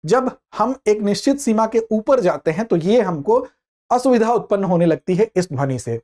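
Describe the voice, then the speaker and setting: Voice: mid-range voice, lively intonation, moderate pace, warm tone. A lecturer explains current affairs for exam students in a didactic way, posing questions and answering them, and restating key points. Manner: repeats and rephrases key points.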